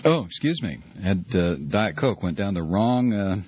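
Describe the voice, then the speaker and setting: A man's voice: low-pitched, big pitch swings, normal speed, slightly rough, dull audio. A male talk radio host taking calls, joking and sarcastic, who also builds into repeated, heated rants. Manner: sarcastic, mocking, loose and joking. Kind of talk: political call-in radio. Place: radio studio.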